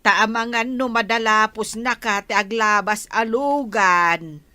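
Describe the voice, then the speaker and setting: Woman playing a sharp-voiced, nagging Filipino wife. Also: fairly high pitch, takes it slowly, no room sound. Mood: exasperated, scolding. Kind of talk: Filipino radio drama acting.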